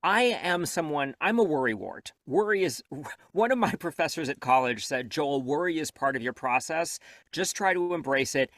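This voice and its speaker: A man, an audiobook narrator and coach, talking as a guest in a candid interview. He is reflective and self-deprecating, and he speeds up when he gets animated. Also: fairly high pitch, normal speed, dry recording.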